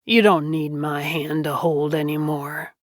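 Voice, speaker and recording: A woman's voice: low-pitched, level tone, unhurried, no room sound, clean studio sound, pitched below the performer's own voice. A woman voicing a dying man who speaks weakly and tenderly in a storybook reading. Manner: faint, strained, tender. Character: dying man, gentle and weak.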